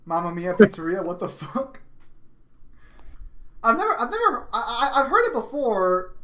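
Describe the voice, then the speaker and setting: A man's voice: extremely high pitch, sing-song, normal speed, sharp tone. A man hosting a casual stream chat, rambling and stammering, often laughing.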